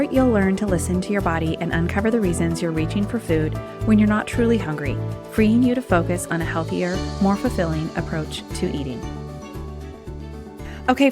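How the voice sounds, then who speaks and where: A woman hosting her own podcast as a weight loss coach, talking in a chatty, conversational way, her voice a little off from being sick. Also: medium pitch. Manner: chatty, conversational, says "like" and "um" often. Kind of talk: solo podcast talk.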